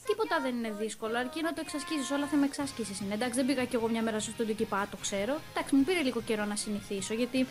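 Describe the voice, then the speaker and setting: A young woman chats casually in an interview as a voice actor, telling things in an animated, slangy way with English words dropped in. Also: on the high side, hardly any pauses. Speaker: voice actor and dubbing singer being interviewed. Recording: voice with music playing clearly behind. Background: music.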